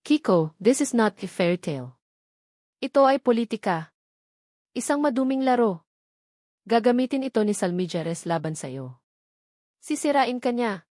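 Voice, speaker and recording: A woman voicing a stern, exasperated female campaign manager in a dramatic audio story, with a sharp, scolding manner. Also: on the high side, animated, normal speed, long pauses, no echo, studio quality, close to an everyday voice.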